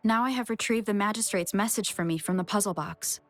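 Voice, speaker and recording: Woman acting a young game heroine, mid-pitched, pensive, careful monologue, clear music behind. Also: a little fast, close to an everyday voice. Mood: pensive, focused.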